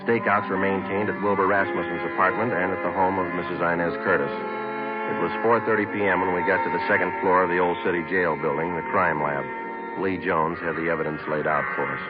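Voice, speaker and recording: A muffled old radio recording with music carries an actor as a terse American police sergeant. He narrates his case in a low, clipped, deadpan voice, moving briskly and giving only the facts. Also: close to an everyday voice. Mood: flat, businesslike. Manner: deadpan, clipped, matter-of-fact. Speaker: narrator and lead detective.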